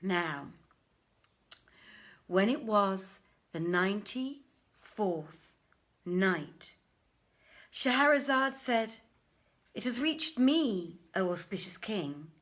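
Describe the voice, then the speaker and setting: A woman hosting an informal story reading, speaking deliberately with a theatrical touch as a storyteller. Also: medium pitch, expressive, fairly bright tone. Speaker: host and reader, theatre director. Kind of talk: informal livestream welcome, then dramatic storybook reading.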